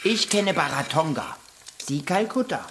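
A woman's voice: low-pitched, expressive, unhurried, noisy background. A woman voicing an earnest, naive, dreamy male would-be seaman in a children's radio play, speaking solemnly and pedantically. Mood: naive wondering.

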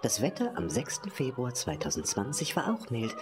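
A woman's voice: extremely low pitch, huge pitch swings, normal speed, over music. A woman narrating an audio drama in a theatrical, wry and musing way, often slipping towards a whisper.

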